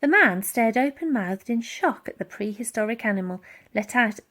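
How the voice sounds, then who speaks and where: A woman reading a children's storybook aloud in a dramatic, expressive narrating style. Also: fairly high pitch, moderate pace. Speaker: audiobook reader.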